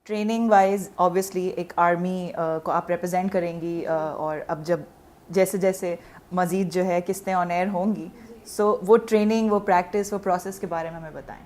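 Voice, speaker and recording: A woman's voice: lowish voice, fairly even intonation, moderate pace, darkish tone. A woman with a Pakistani accent interviewing guests in a conversational way, mixing in English.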